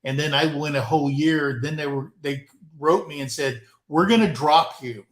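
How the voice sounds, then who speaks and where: A man's voice: fairly high pitch, normal speed. Joking man in his sixties, echoey room. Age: 60s. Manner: joking, rambling storyteller. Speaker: co-host of a livestream chat show. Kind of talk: casual livestream chat.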